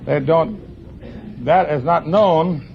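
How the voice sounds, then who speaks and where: A man answers as a radio quiz contestant in a slow, gravelly voice. He is plain and slightly hesitant. The old recording has clear background noise. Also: a little high. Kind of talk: unscripted answers to a host. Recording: dull, noisy old radio broadcast.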